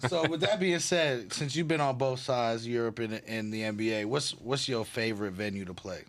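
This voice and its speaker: A man's voice: medium pitch, moderate pace. A man hosting a casual podcast, asking loose, profane questions.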